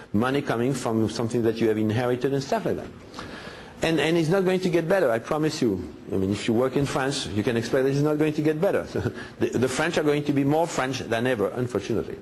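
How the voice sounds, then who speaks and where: A man's voice: mid-range voice, darkish tone, slight background noise. A man speaking in a lecture style, making emphatic points and often asking rhetorical questions. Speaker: guest speaker in a played clip.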